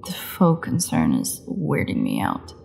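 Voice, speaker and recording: A woman playing a defiant captive vampire in an audio drama, snarky, mocking and bitterly flippant, with a gravelly voice. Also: a bass voice, wildly swooping pitch, a little slow, darkish tone, slight background noise, heavily acted. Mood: bitter sarcasm. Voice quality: gravelly, creaky voice. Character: defiant, sarcastic captive female vampire under interrogation. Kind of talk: dramatic audio roleplay monologue.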